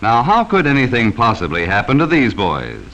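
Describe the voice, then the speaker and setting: A man's voice: mid-range voice, wildly swooping pitch, moderate pace, a bit bright, dull audio. An American man narrating a 1940s educational safety film from a script, speaking sternly and cautioning children directly, on a vintage film soundtrack.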